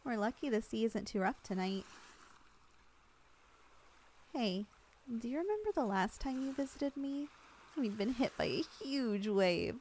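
A young woman performing an ASMR roleplay as a loving girlfriend, talking softly with an affectionate, teasing manner. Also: a little high, expressive, unhurried, long pauses, slight background noise.

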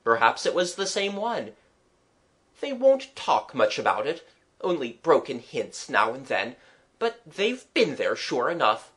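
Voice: mid-range voice, very animated, measured pace, a bit bright. A reader voicing a genial, well-travelled man who paints, with a dry, kindly, musing manner in a storybook.

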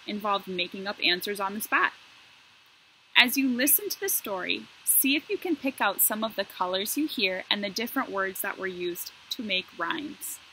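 A woman in her thirties talking to first graders as a guest story reader, explaining things simply and in an animated way. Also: medium pitch, creaky, slight background noise, low-quality recording. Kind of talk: addressing young children, explanatory read-aloud intro. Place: outdoor covered patio.

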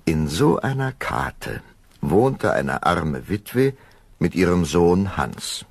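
A man narrating a scripted fairy tale as storyteller, expressive and unhurried, speaking directly to his listeners. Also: low-pitched, vocal fry, husky, no echo.

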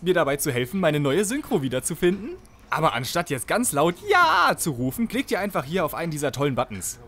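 An exasperated, scatterbrained guy in a comic audio drama, acted by a man, grumbling in everyday speech. His pitch jumps around a lot, with faint noise in the background. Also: fairly bright tone. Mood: pleading, insistent. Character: exasperated, scatterbrained male hero of a comedy radio play. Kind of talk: parody audio drama acting.

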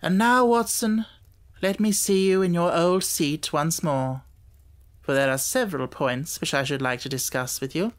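A man's voice: fairly high pitch, moderate pace, natural voice. A man voicing a keen, self-assured Victorian detective with an emphatic, lecturing manner in an audiobook. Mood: brisk, approving.

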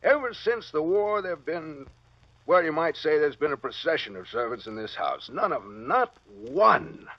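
A middle-aged American head of household, flustered and pleading. His fairly high voice swings widely as he wheedles and stammers. The sound is a dull, dry old radio recording. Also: no room sound. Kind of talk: 1940s radio acting. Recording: muffled vintage radio recording.

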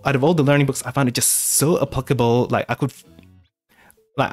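A man talks loosely on a live stream, stopping and restarting his sentences and filling gaps with "like" and "you know". His voice sits at an ordinary middle pitch. Quiet music runs faintly underneath. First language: English. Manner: rambling, many fillers and restarts. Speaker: art channel host.

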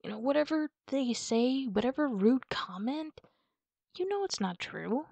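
A woman with a slightly high voice speaks as a supportive friend in a comfort roleplay. Her delivery is informal and reassuring, with halting pauses. The sound is close and dry, with little room around it. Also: animated, vocal fry, darkish tone, dry recording, lo-fi sound. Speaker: ASMR roleplay performer playing a supportive friend. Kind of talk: casual comfort ASMR roleplay, one side of a conversation.

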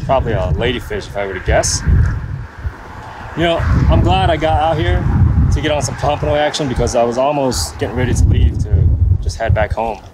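A man's voice: mid-range voice, a little fast. Chatty man fishing off a windy bridge. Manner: relaxed, chatty, explains as he fishes. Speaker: fishing vlogger. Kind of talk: casual vlog commentary. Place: outdoors on a bridge over open water. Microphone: action camera on the body. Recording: outdoor camera audio with loud wind noise.

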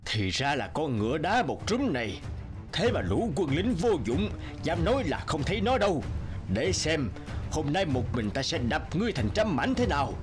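A man playing a greedy, tyrannical emperor in an animated folk tale, imperious and threatening. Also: on the high side, moderate pace, over music. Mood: angry, menacing.

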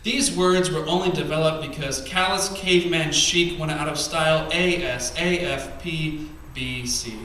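A man in his thirties performs his poems at a small cafe open mic, leaning hard into the rhythm with a bright, slightly high voice. Between pieces he drops into soft, offhand asides. The sound is caught by a distant camera, so the room rings heavily around him over a faint hum of ambience. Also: measured pace, hardly any pauses. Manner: emphatic, rhythmic poetry delivery with quiet asides between poems. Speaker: spoken word poet. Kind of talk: spoken word poetry reading. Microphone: stand mic, far camera. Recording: echoey venue, camera from far off.

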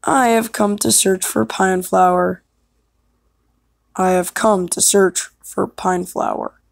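A woman voicing a bold, earnest warrior cat for an amateur cartoon audition, speaking deliberately. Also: mid-range voice, steady tone, vocal fry, no echo, studio quality. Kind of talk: amateur fan animation audition lines.

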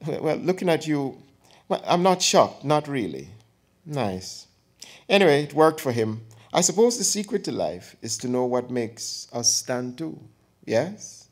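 A man's voice: fairly high pitch, sing-song, unhurried, voice pushed up, exaggerated voice. A man reading an audiobook, voicing an elderly aunt who teases and gossips with theatrical flair. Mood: reminiscing. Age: older. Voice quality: teasing, theatrical, lapsing into dialect.

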